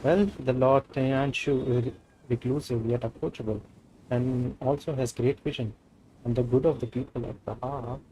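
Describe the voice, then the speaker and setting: A man's voice: mid-range voice, steady tone, moderate pace, mellow, a little hiss or hum, low-quality recording. A man with an Indian accent, a native Hindi speaker, doing live gameplay commentary and reading dialogue aloud. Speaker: game streamer.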